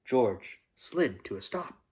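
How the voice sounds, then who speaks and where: A man reading a children's bedtime story aloud, expressively, with dramatic emphasis and pauses. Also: mid-range voice, sing-song, darkish tone.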